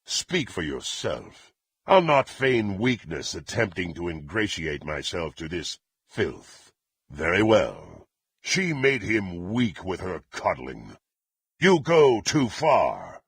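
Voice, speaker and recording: A man playing a murderous, proud warrior in a fantasy video game, with a gravelly, raspy voice and archaic, haughty, theatrical delivery. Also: low voice, dry recording, exaggerated voice. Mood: furious, threatening. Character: murderous, menacing, proud warrior serving a dark lord.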